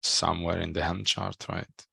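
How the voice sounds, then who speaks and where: A man's voice: deep voice, little pitch movement, moderate pace, vocal fry, sharp tone, slightly rough, no echo, studio quality. A man in his thirties thinking aloud tentatively in a casual technical discussion on a headset. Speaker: software developer on a working group call. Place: small room at home, video call.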